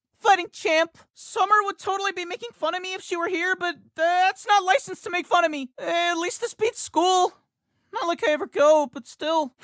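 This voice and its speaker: A man's voice: very high voice, pushed and bright, no room sound, heavily acted. A man voicing a nervous, stammering teenage boy in a video game, tripping over and repeating his words, recorded on a studio microphone. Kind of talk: game voice lines.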